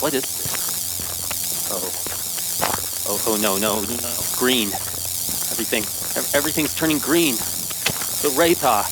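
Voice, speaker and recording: An actor playing a frightened, protective husband and father in an old-time radio drama, urgent and halting. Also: mid-range voice, heavy background noise. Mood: panicked.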